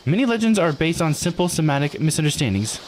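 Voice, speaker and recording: A man's voice: fairly high pitch, very animated, fast, darkish tone, slight background noise, low-quality recording. A man voicing an eager, bookish young theorist who explains things earnestly, read aloud from a visual novel.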